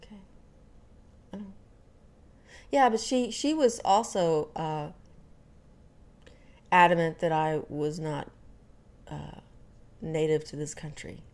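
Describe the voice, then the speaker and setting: A young woman from Dallas, Texas chats to camera in a relaxed vlog, with no regional twang in her speech. Her voice sits a bit low, and she rambles along with frequent ums, uhs and self-corrections. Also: takes it slowly, pauses often. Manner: chatty, many fillers and restarts. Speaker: vlogger. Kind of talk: casual storytelling to camera.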